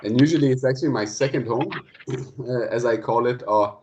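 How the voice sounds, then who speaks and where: A man's voice: medium pitch, a little fast, darkish tone, a little hiss or hum. A man from Denmark giving the friendly, hesitant opening of an online guest lecture, full of fillers and restarts. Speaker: guest speaker. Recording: dull lo-fi online call audio.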